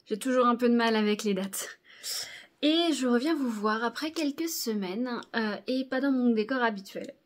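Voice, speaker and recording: A woman in her thirties from Normandy, chatting casually to camera in a knitting vlog, hesitant with many fillers and quick laughs. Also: on the high side. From Normandy, France. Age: 30s. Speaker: knitting video host. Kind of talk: casual monthly vlog chat.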